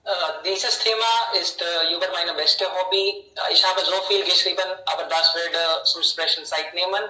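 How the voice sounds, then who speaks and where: A man's voice: high voice, steady tone, moderate pace, bright, forceful tone. A man talking in a group conversation over a video call, searching for words with many fillers. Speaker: German learner. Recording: roomy sound, distant mic.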